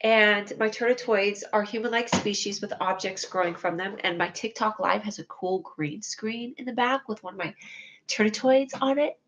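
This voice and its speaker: A young woman with a whiny, needy voice, chatting casually to viewers on her livestream, rambling and scatterbrained, putting herself down and now and then breaking into excited squeals. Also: medium pitch, moderate pace, dull audio, a little hiss or hum.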